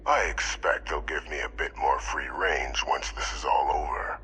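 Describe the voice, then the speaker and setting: Very deep, scheming older villain. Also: male voice, flat delivery, bright, forceful tone, a little hiss or hum. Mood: measured, veiled menace. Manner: measured, formal, persuasive. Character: scheming older male villain, smooth, unrepentant, self-justifying. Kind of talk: video game character dialogue.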